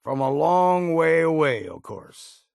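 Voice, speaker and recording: Gravelly old American wasteland hunter. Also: male voice, on the high side, very animated, slow pace, no echo, clean studio sound, heavily acted. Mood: wry, seasoned. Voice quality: gravelly, husky. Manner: folksy, plain-spoken, blunt. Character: grizzled old wasteland hunter, gruff, wry, world-weary. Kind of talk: video game character voice lines.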